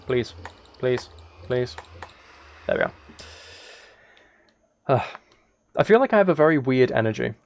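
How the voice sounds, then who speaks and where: A man chatting casually as a live game streamer, musing aloud in a relaxed, low-key way. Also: a little slow, gravelly, pauses often. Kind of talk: casual chat over Minecraft gameplay.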